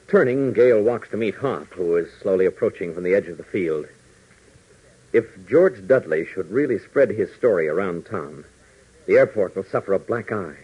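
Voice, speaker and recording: A man's voice: sits low, slightly rough. A man narrating and announcing a 1940s radio serial with dramatic, theatrical delivery. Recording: noisy, dull vintage 1940s radio recording.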